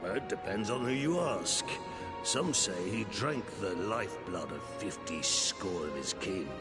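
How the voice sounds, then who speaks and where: An actor playing a rough, mocking pirate captain in a video game, gravelly and theatrical, full of pirate slang. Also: male voice, deep voice, lively intonation, unhurried, loud music behind the voice. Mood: sly, storytelling. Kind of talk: video game cutscene acting.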